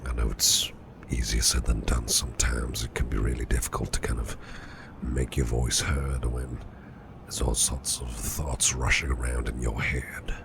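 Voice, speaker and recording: Very deep, gravelly, caring boss played by a man. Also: flat delivery, normal speed, background noise. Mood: tender, reassuring. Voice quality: breathy half-whisper. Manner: soothing, reassuring, affectionate, gently murmured. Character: caring, protective businessman boss comforting an employee. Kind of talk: soft ASMR roleplay, personal attention.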